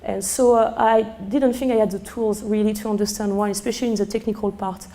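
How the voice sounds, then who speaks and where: A middle-aged woman with a strong French accent, a sommelier speaking live to a seminar room, hoarse from losing her voice and chatty with many fillers. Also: mid-range voice, fairly even intonation, fairly quick, warm tone. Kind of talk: live talk to a seminar audience.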